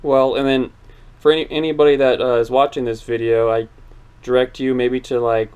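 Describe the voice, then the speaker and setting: A young man in his twenties hosts a relaxed talk and rambles earnestly, restarting his sentences often. His voice is smooth and clear but stays flat in pitch. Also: normal speed. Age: 20s. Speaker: channel host. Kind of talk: casual interview chat.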